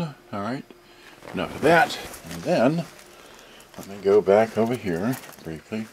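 A man in his sixties chatting casually and haltingly to a camera, muttering to himself as he handles things during an unboxing. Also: lowish voice, a little mellow. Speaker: video host, boombox collector. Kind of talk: casual unboxing and show-and-tell chat. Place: cluttered indoor storage room. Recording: noisy room recording with clicks.

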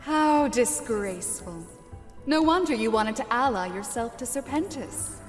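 A woman's voice: fairly high pitch, expressive, measured pace. A woman playing a disdainful, imperious spider deity with formal speech in a visual novel. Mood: scornful. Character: haughty, disdainful spider goddess. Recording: long reverb, music behind.

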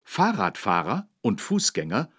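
Patient policeman, low husky voice, very varied intonation, teaching children, dry studio sound. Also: huge pitch swings, unhurried, a bit bright, no room sound, heavily acted. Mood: careful, emphatic. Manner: patient, instructive, emphatic. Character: kindly, careful policeman teaching children road safety. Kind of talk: scripted educational game narration for children.

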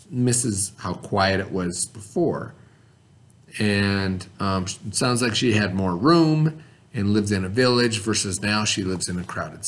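A middle-aged man with an American accent speaks in a mid-pitched voice. He reads aloud to young pupils like a schoolteacher, stopping to explain and check that they follow. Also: fairly bright tone. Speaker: elementary school teacher. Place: indoor school classroom.

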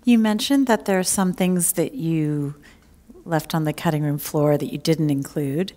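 A middle-aged woman with a notably low voice asks careful, measured questions of her guest. She is recorded on a lapel mic, and the sound carries the lingering echo of a large hall. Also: dark tone. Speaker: interviewer and journalist. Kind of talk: onstage interview. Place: library event stage, large hall. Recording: hall reverb from a large room.

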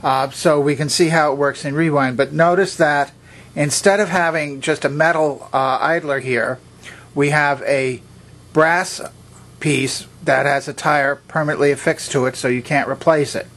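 A man's voice: on the high side, steady tone, normal speed, fairly bright tone. A man giving an unscripted, methodical demonstration, explaining things step by step in a plainly instructional way. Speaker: presenter of vintage electronics demonstrations.

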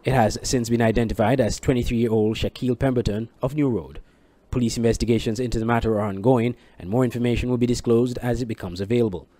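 A man's voice: mid-range voice, fairly even intonation, quick pace, dark tone, no echo. A man reading scripted news reports as a reporter.

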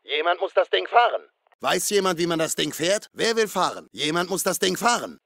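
An actor playing a masked slasher killer in a video game, raspy, giving terse callouts with mocking menace. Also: male voice, high-pitched, big pitch swings, moderate pace, a bit bright, no echo, exaggerated voice. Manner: taunting, sardonic, terse.

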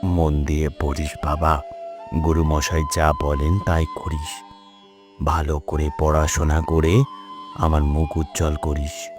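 A man's voice: rumbling low, monotone, slow, pauses often, over music. A man playing a caring village father in a cartoon, speaking politely and earnestly. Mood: respectful, earnest.

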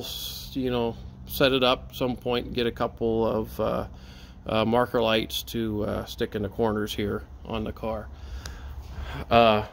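A man giving a casual walkthrough of a renovation project, thinking aloud in a halting way as he films with a handheld camera. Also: medium pitch. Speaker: railroad volunteer presenting a renovation project. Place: inside a metal railroad caboose. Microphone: handheld camera, held by speaker. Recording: clearly noisy, ambient sound inside railcar.

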